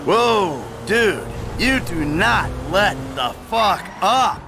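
A man's voice: sits high, expressive, extremely slow, gravelly, background noise, full character voice. An actor playing a defensive, exasperated middle-aged retired criminal and father in a video game, sounding weary and irritable. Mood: irritated. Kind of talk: video game dramatic acting.

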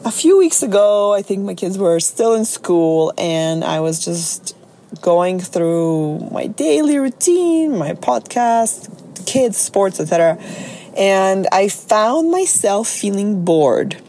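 A middle-aged woman with a slightly raspy voice, talking casually and chattily as a solo podcast host and coach. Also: medium pitch, big pitch swings, slow, warm tone, slight background noise.

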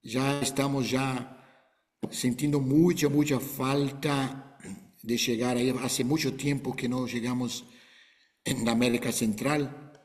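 An older man hosting a video call, speaking Spanish in a gentle, unhurried, pastoral way. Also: medium pitch, steady tone, long reverb tail. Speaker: host.

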